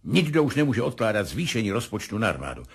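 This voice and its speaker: An actor playing a sardonic, middle-aged military colonel in a radio drama, formal, commanding and pointed. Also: male voice, fairly low pitch, very animated, vocal fry, slightly rough, full character voice. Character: domineering, sardonic military colonel running an interrogation. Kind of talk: 1970s radio drama acting.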